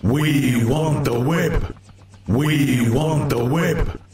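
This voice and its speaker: Audiobook drama: baying sightseer mob man performing, very slow rhythmic chant, roomy crowd din. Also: mid-range voice, pitched above the performer's own voice. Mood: insistent, excited. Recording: roomy, crowded, noisy backdrop.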